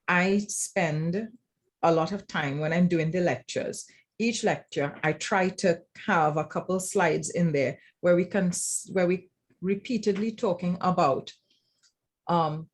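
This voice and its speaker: Low-pitched Trinidadian woman, reflective speaker. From Trinidad and Tobago. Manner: reflective, explanatory, checks with "right?". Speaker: academic writing lecturer, panel speaker. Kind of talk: informal roundtable presentation.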